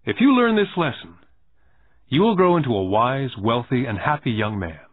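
A man voicing a stern, calm businessman mentor who lectures pointedly and in measured phrases in an audiobook. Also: medium pitch, no echo. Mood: stern, instructive. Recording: muffled, phone-like narrow band.

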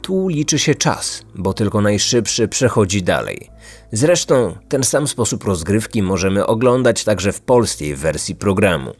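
Man, thirties, low pitch, scripted podcast narration, background music. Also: animated, a little slow, slightly rough. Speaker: true crime podcast host. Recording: music bed behind the voice.

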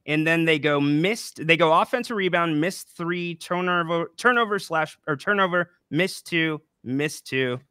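A young man with a bright, crisp voice co-hosts a postgame basketball show into a podcast microphone. The sound is dry and close, and he recaps plays and promotes auctions in an upbeat way. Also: fairly high pitch, measured pace, few pauses, no room sound. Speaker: co-host. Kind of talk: casual postgame sports chat. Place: small room with a plant and a banner. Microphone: podcast microphone on a boom arm.

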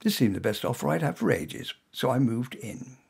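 A man reads his own short story aloud, wry and conversational. His voice is gravelly and a touch husky. His pitch rises and falls freely as he tells it. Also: moderate pace. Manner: dry, wry, conversational delivery with lively pitch. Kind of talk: first-person storytelling.

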